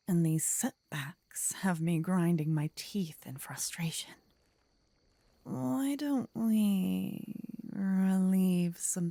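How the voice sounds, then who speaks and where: A woman's voice: lowish voice, warm tone, no echo. A woman voicing a possessive, seductive and bloodthirsty demon queen in a fantasy audio roleplay, with a whispery, intimate delivery. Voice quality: breathy, whispery. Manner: slow, sultry.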